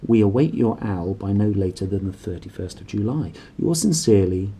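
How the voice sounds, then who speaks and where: A man voicing a formal deputy headmistress's official storybook letter, precise and measured. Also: on the low side, mellow, a little hiss or hum, natural voice.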